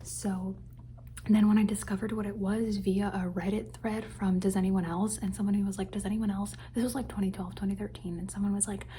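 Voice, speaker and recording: A young woman whispers through a rambling ASMR question-and-answer session recorded on a phone, her voice mellow and dark. She trails off into soft repeated words as triggers, with a faint hiss underneath. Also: medium pitch, level tone, brisk. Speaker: ASMR creator.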